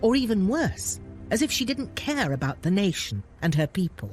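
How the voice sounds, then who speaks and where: A woman's voice: mid-range voice, very animated, normal speed, darkish tone. A woman delivering scripted documentary narration. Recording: music clearly behind the voice.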